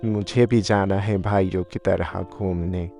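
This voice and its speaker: A man's voice: low voice, steady tone, takes it slowly, gravelly, dark tone, soft background music, exaggerated voice. A man playing an eager young monkey-faced prince in a cartoon story, earnest and pleading.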